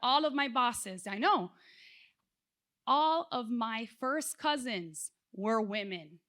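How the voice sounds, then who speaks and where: Woman conference speaker from South Florida, lively, engaging, rather high voice. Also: slow. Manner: animated, engages audience with questions. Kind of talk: motivational keynote talk.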